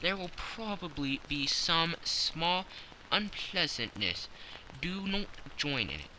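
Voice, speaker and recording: Man playing a commanding detective, sharp and bright. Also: a little high, big pitch swings, takes it slowly, a little hiss or hum, full character voice, rough recording. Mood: commanding, precise. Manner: precise, instructing, authoritative. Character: commanding, keen-minded male detective, briskly self-assured. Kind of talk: audiobook character dialogue.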